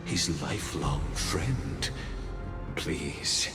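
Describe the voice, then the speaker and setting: A deep grave chronicler. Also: male voice, lively intonation, drawn-out words, heavily acted. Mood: solemn. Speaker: narrator. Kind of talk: solemn game narration. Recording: echoey hall with game music.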